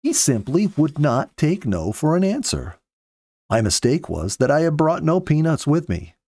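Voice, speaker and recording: A man in his fifties reading a story aloud with earnest, measured, dramatic phrasing, close to a studio microphone. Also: a little high, big pitch swings, a little mellow, no room sound. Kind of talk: expressive audiobook-style story narration. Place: small home study, indoors. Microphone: close studio mic with pop filter.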